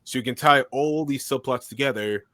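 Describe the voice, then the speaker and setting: A man speaking as a guest in an interview, answering at length in a steady monotone voice. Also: medium pitch, brisk, dry recording. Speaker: guest filmmaker and commentator.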